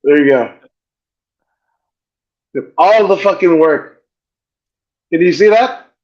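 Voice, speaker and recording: Guy hosting a podcast, swears a lot, rambles, kinda high voice, dull lo-fi sound. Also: expressive, takes it slowly, darkish tone. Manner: profane, rambling, joking. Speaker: podcast host. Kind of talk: casual chat.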